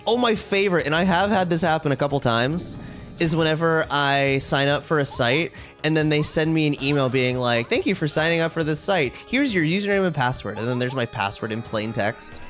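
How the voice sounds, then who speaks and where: A man's voice: fairly high pitch, lively intonation, brisk, a bit bright, faint music behind. A man livestreaming a video game, chatting casually with viewers and commenting on play in a wry, ranting way.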